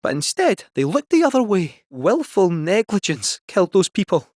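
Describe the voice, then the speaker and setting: An actor playing a small, cheeky talking robot cat in a video game, with a Scottish accent and a jaunty, theatrical delivery. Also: male voice, high voice, big pitch swings, moderate pace, a little mellow, no room sound, studio quality. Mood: earnest. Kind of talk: animated video game character dialogue.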